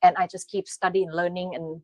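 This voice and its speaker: A woman's voice: on the low side, little pitch movement, fairly quick, darkish tone, no room sound. A middle-aged woman from Thailand with a Thai accent, speaking hesitantly with many fillers as an interview guest. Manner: hesitant, many fillers, non-native grammar. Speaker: guest, aesthetician. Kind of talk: casual interview.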